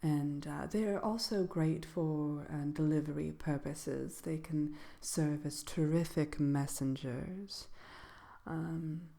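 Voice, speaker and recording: A woman playing a genteel sorceress presenting a seminar in a soft-spoken ASMR roleplay, speaking in a hesitant, gently formal, measured way. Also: low-pitched, gravelly, heavily acted. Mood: gentle, musing. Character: genteel female fairytale sorceress lecturing on magical companion animals.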